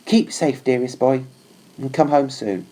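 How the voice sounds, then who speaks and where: A man's voice: medium pitch, unhurried, warm tone, voice pushed up, lightly acted. A man voicing a plain-spoken mother's affectionate, chatty letter to her soldier son in a storybook. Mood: fond, homely.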